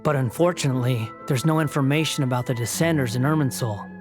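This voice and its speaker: A man's voice: mid-range voice, fast talker, natural voice. A man voicing a proud, bitter young male wanderer in a video game, sardonic and haughty. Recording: music playing under the voice.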